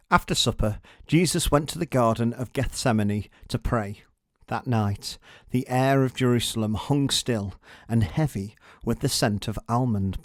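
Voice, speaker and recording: A man reads a children's story as a storyteller. His voice sits at a middle pitch, recorded close and dry with no room sound. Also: dry recording. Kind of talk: scripted children's story narration.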